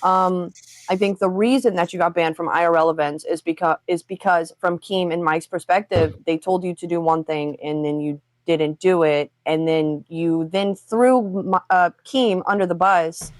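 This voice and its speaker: A woman's voice: lowish voice, steady tone, moderate pace, no room sound. A woman on a livestream talk show, speaking bluntly and pressing hard questions, with frequent swearing.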